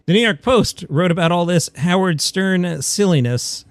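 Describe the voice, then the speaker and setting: Guy doing comedy news podcast, kinda higher voice, wry opinionated asides, very dry sound. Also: normal speed, no room sound. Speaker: podcast host. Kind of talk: comedy news commentary, partly scripted.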